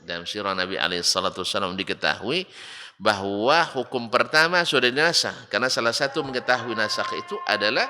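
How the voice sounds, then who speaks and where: Emphatic preacher in an echoing hall. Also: male voice, fairly low pitch, animated, fairly quick. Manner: rising to loud emphatic rebukes, mocking rhetorical questions. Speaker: Islamic preacher. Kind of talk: religious study lecture. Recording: reverberant hall with faint background noise.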